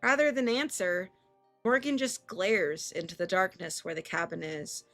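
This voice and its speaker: A woman's voice: fairly low pitch, normal speed, fairly bright tone, faint music behind. A woman streamer reading a visual novel aloud expressively, with casual, sweary reactions.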